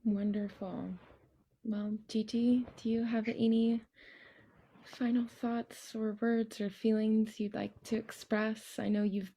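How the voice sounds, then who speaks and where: A young woman hosting an arts festival, introducing performers in an earnest, halting way. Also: a little high, little pitch movement, warm tone, slightly rough. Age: young adult. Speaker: festival host.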